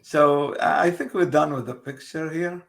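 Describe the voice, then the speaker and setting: A man whose first language is Kurdish, a guest translator speaking haltingly in a casual interview, with frequent pauses and fillers. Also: a little high, vocal fry, fairly bright tone.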